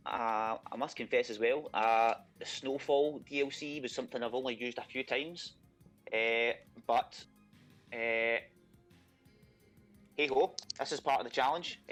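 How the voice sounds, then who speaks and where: A man's voice: mid-range voice, a bit bright. A man from Scotland with a thick Scottish accent, chatting casually as a contestant being interviewed, with many fillers and a self-deprecating tone.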